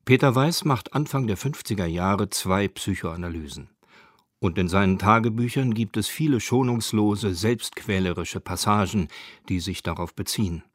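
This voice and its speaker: A man's voice: fairly low pitch, normal speed, no echo, studio quality. A man reading scripted narration for a radio documentary.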